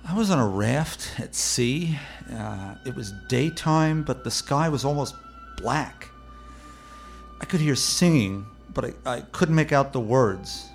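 A man playing an anxious patient haunted by night terrors in a radio play, speaking in a halting, stammering, desperate way. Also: mid-range voice, expressive, vocal fry, soft background music. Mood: anxious, unsettled.

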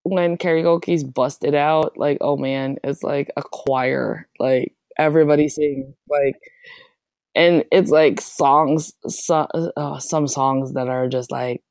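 Low-voiced woman, laughing and chatty. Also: fairly even intonation, slow, a little mellow, dry recording. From Philippines. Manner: laughs often, leans heavily on "like". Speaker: guest. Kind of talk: casual interview chat.

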